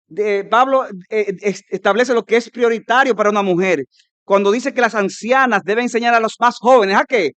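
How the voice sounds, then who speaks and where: Dominican pastor preaching, fairly high voice, swings loud on his points, dry close mic. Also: clear, resonant tone, no echo. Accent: Dominican Spanish. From Dominican Republic. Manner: emphatic preaching, rising to loud peaks, mimics hearers' objections. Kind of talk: conference sermon.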